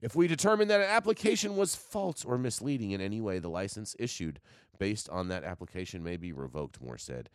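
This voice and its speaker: A man's voice: fairly low pitch, sing-song, fairly quick, clear, resonant tone, dry recording, studio quality. A man co-hosting a news show, reading articles aloud with stumbles and restarts, then chatting over a remote call.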